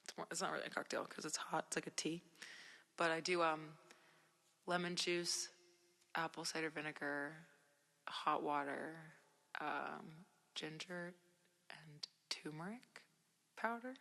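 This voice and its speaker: Young woman from Jersey, voice worn hoarse after a cold; easygoing, rambling livestream chatter with lots of fillers, a long echo around her. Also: low-pitched, unhurried, long pauses, bright, forceful tone. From New Jersey. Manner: laid-back, rambling, many "like" and "um" fillers. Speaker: independent musician livestreaming. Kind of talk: casual livestream chat with viewers.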